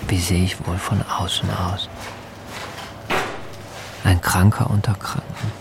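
An actor playing an anxious hospital patient in his early forties in a radio drama, halting and downplaying his worry. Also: sits low, level tone, very noisy. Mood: uneasy, brooding. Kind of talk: German radio drama acting.

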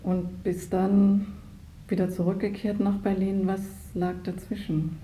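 A woman's voice: medium pitch, little pitch movement, unhurried, warm tone, reverberant, slight background noise, rough recording. A woman interviewing someone in a conversational way, putting questions and prompts.

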